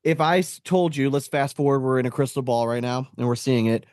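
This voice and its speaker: A man's voice: medium pitch, a little fast, a little mellow, no echo, studio quality. A man co-hosting a podcast, talking casually and analytically about sport in a blunt, profane, slangy way. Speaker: podcast co-host.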